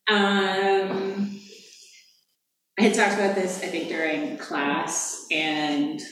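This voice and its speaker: A woman's voice: medium pitch, level tone, drawn-out words, background noise. A woman student sharing in a group circle, rambling and searching for words. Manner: rambling and searching, says like often. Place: meditation hall. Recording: very echoey hall.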